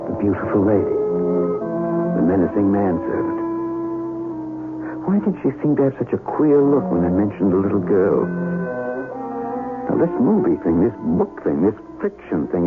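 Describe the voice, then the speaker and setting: An actor playing a rattled, haunted traveler in a 1970s radio drama, talkative and earnest, with a hesitant stammer. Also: male voice, on the low side. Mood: brooding, wondering. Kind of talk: 1970s radio drama acting with first-person narration. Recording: tinny, hissy 1970s radio broadcast.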